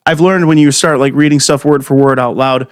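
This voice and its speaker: A man's voice: medium pitch, steady tone, brisk, clean tone, no room sound, studio quality. A man co-hosting a comedy podcast, chatting loosely and crudely, cursing, saying "dude" and laughing often. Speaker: podcast co-host. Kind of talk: casual crude comedy chat.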